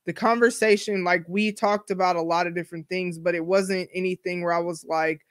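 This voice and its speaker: A woman's voice: on the low side, fairly even intonation, brisk, dry recording, clean studio sound. A woman in her forties hosting a solo podcast, talking candidly in a chatty, slangy way full of asides. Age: 40s. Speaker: podcast host. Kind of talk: casual solo podcast monologue.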